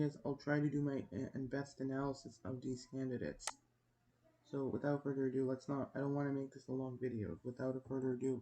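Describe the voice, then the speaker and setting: A young man giving casual election prediction commentary as a video host, in a subdued, hushed voice with a halting delivery. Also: mid-range voice, poor audio. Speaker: YouTube host. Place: at home. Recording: noticeable background noise, home recording.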